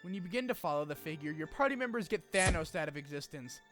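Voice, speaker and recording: A man with a slightly high voice hosts a gaming video essay. He narrates the story from a script and drops in informal, animated asides with the odd swear. Music plays under his voice. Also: fast talker. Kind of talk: scripted game story recap with casual asides.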